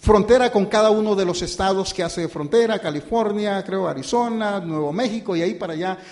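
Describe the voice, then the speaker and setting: Un predicador de voz alta y tono coloquial habla a su congregación con pausas, titubeos y arranques repetidos. Su sermón suena informal, como una conversación con los hermanos. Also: male voice, high-pitched, a little mellow. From born outside the United States. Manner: informal, halting, with many fillers and restarts; addresses listeners as brothers and "usted". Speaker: pastor. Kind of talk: conversational sermon.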